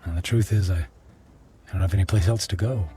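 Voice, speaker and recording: An actor playing a remorseful middle-aged businessman in a radio drama, pleading with his ex-wife in a halting, stammering, earnest way. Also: deep voice, fairly even intonation, warm tone, natural voice. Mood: weary, pleading. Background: dramatic background music.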